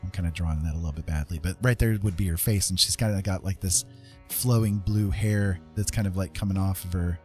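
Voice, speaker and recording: A man's voice: deep voice, a little fast, darkish tone, soft background music. A man casually streaming a digital painting tutorial, rambling and thinking aloud as he works.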